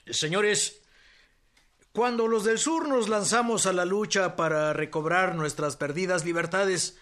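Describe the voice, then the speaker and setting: A fired-up rebel general giving a speech, high pitched and sharp, halting, emphatic, radio play. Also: male voice. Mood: solemn, proud. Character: Eufemio Zapata, fiery revolutionary general making a speech. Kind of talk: radio drama oratory.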